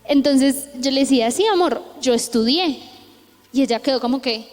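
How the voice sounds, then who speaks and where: High-voiced Colombian woman preaching casually. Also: moderate pace. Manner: chatty, frequent tag questions, lively retelling. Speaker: youth preacher. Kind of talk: conversational sermon with storytelling. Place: church hall. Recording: echoey church hall.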